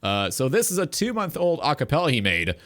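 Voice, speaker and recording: A man hosting a gaming talk show in a casual livestream style, with a halting delivery. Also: fairly high pitch, huge pitch swings, a bit bright.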